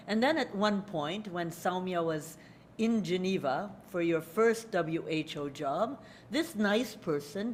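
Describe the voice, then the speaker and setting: A woman's voice: fairly low pitch, a little hiss or hum. An older woman, a native Hungarian speaker, giving a conversational public lecture at a podium and speaking to children in the audience. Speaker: guest lecturer.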